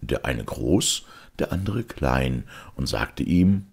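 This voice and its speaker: A man's voice: extremely low pitch, very animated, takes it slowly, gravelly, husky. A man reading a fairy tale aloud as a storyteller, in scripted narration.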